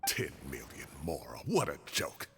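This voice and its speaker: A man's voice: a bass voice, wildly swooping pitch, a little slow, bright, forceful tone, a little hiss or hum, exaggerated voice. A man voicing a kindly retired old professor who grumbles indignantly in a genteel way. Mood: exasperated. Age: older. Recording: game audio with long reverb.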